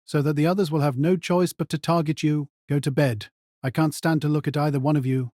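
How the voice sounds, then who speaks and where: An actor playing a gruff, sarcastic, fed-up older mentor man in an audio drama, curt and scornful. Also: medium pitch, steady tone, brisk, dark tone, no room sound, clean studio sound, natural voice. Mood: irritated.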